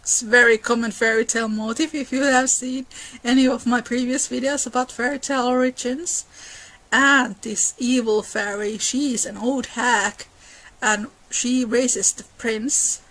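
A woman's voice: on the high side, fairly even intonation, unhurried, a bit bright, low-quality recording. A young woman whose first language is Finnish, retelling an old fairy tale in a chatty, casual way, laughing often and restarting her sentences. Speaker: art and mythology educator. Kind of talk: casual storytelling lecture.

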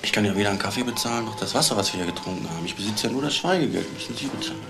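An actor playing an edgy, street-smart burglar in a radio crime drama, talking in a blunt, wary, colloquial way. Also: male voice, on the low side, lively intonation, racing, creaky, music in the background. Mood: confessional. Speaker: petty criminal.

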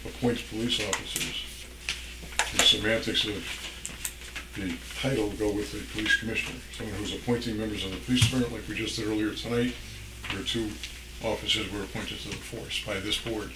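A middle-aged man speaking deliberately and carefully as a lawyer for a client at a meeting. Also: on the low side, fairly even intonation. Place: municipal meeting room. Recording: echoey, noisy room, distant microphone.

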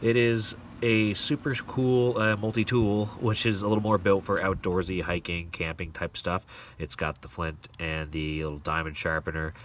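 A very low-voiced man talks through his gear in a loose, rambling way, often trailing off with "uh." He sounds set back from the mic, and a clear hum of outdoor noise sits behind him. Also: little pitch movement, normal speed, far from the mic. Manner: rambling, enthusiastic, frequent fillers. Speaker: gear reviewer. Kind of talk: casual show-and-tell. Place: outdoor wooden deck. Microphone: overhead camera on hands, outdoors.

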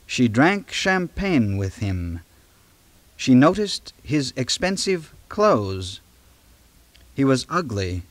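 A man reading scripted storybook narration dramatically, in a measured, suspenseful manner. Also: medium pitch, no echo.

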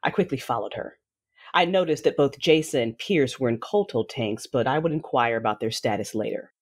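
A woman playing a fierce, grieving warrior mother who narrates her own story in a measured, dramatic audio drama style. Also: very deep voice, slightly rough. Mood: solemn, controlled. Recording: voice over a music bed.

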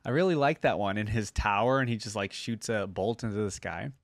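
A man's voice: fairly low pitch, brisk, dry recording. A man, giving casual game reaction commentary close to a desk mic. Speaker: reaction video host. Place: small indoor room, gaming chair. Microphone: close desk microphone on boom arm. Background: game trailer music.

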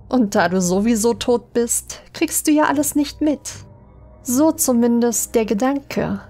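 High-voiced woman narrating horror stories. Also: a little mellow. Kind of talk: scripted creepypasta audiobook narration. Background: music under the voice.

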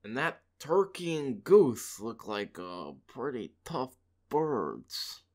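A woman's voice: a bass voice, big pitch swings, measured pace, pitched below the performer's own voice, heavily acted. A woman voicing a greedy, scheming male fox in a storybook reading, sneering and gloating.

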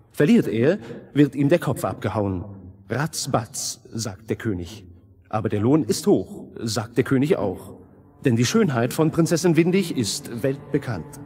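An actor playing a royal herald, making official proclamations in a declamatory way in a children's audio play. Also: male voice, medium pitch, dark tone, close to an everyday voice.

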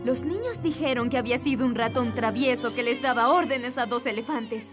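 A woman voicing a plucky, caring jungle animal nanny, a spirited mole, in an animated cartoon. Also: a little high, a little fast, natural voice. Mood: earnest, caring. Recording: music behind.

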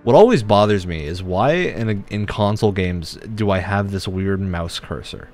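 A man's voice: deep voice, lively intonation, moderate pace, a little mellow, soft background music. A man giving casual, chatty co-commentary as he streams a video game.

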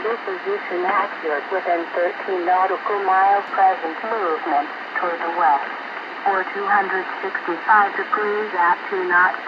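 A radio announcer reading a formal marine weather bulletin in a measured, impersonal way over shortwave single-sideband radio. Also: mid-range voice. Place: radio broadcast played through a small receiver speaker. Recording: noisy, muffled shortwave radio reception. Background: loud static noise.

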